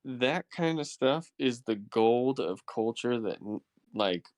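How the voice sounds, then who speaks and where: A man's voice: mid-range voice, expressive, slow, dry recording, studio quality. A man chatting casually as a podcast host. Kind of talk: casual chat.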